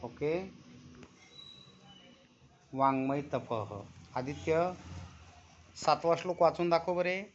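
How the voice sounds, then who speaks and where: Man, Marathi accent, Sanskrit teacher, unhurried, deliberate enunciation, instructive, muffled online class audio. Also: a little high, slight background noise. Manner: instructive, enunciates deliberately, prompts students with questions. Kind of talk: online class instruction with chanted verse recitation.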